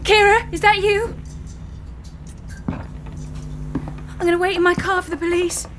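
Frightened woman, very high voice, horror audio drama, loud music behind. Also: fairly even intonation, slow. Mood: anxious, fearful. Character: frightened anxious woman calling out for her missing friend.

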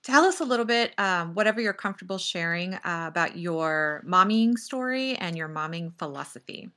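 A woman from Texas hosting a podcast, upbeat and quick with asides and laughter. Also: on the low side, expressive, pushed and bright. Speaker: podcast host. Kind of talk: casual interview chat.

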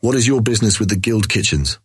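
A somewhat low, husky man's voice as a suspicious knight in a fantasy audio drama. He is officious and drily disapproving, recorded without room sound. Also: lively intonation, quick pace, no echo, clean studio sound. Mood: questioning.

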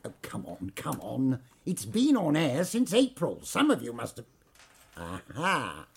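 Glib, chipper park manager; raspy, creaky, lively male voice, comic radio play. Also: mid-range voice, measured pace, exaggerated voice. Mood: upbeat, awkward. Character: chipper, awkward male amusement park boss addressing staff. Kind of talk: comic audio drama acting.

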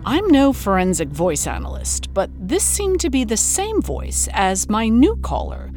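A woman's voice: on the high side, very animated, measured pace, darkish tone, soft background music. An actress playing a wry, incredulous woman hosting a scripted investigative documentary podcast, with a dramatic storytelling manner. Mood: wry, intrigued. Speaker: host.

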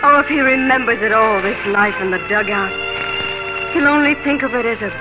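A woman's voice: on the high side, fairly quick, lightly acted. Woman playing tender young pioneer wife, old radio. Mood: hopeful, dreamy. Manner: tender, dreamy, earnest. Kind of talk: 1940s radio drama acting. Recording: dull 1940s radio recording, music behind.

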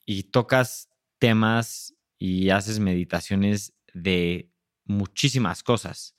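Low-voiced male podcast host. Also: no room sound, clean studio sound. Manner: relaxed, thoughtful questions with filler phrases. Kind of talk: casual interview.

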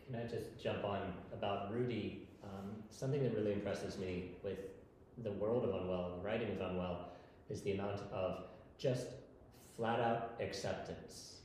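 A man's voice: fairly low pitch, moderate pace, a little mellow. A man talking as a panelist in a live discussion. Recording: very echoey room, faint background noise.

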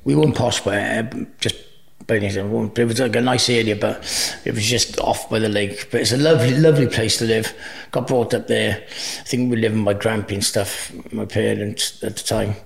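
A middle-aged man with a Cardiff accent and a gravelly edge to his voice, Welsh his first language. He chats easily as an interview guest, wandering through his story with frequent false starts. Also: fairly low pitch, fairly even intonation, fairly quick. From Wales. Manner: rambling, restarts often, easygoing. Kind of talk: casual interview chat.

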